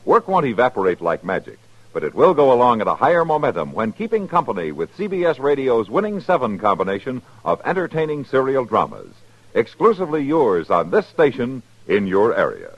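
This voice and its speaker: A man's voice: mid-range voice, huge pitch swings, brisk. A man with an American accent reading a scripted promo as a mid-century radio announcer. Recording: dull old radio broadcast recording.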